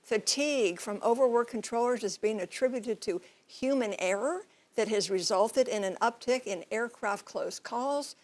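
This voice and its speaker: A woman reporting as a TV news correspondent, explaining things in measured, clear phrases. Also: a little high, darkish tone. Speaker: TV transportation correspondent and pilot.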